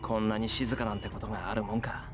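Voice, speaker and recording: A man's voice: on the low side, level tone, rapid-fire, a bit bright, soft background music, natural voice. An actor voicing an earnest, impulsive teenage boy in a visual novel, talking in a casual, blunt way. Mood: puzzled, wary. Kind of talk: Japanese visual novel voice acting.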